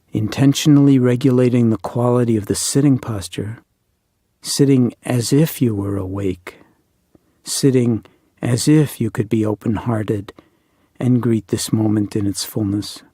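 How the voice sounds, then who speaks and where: A man guides a meditation in a dark, relaxed voice with a husky grain. His phrasing is measured and deliberate, leaving pauses between reflective instructions. The sound is dry, with no room around it. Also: medium pitch, dry recording. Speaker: meditation teacher.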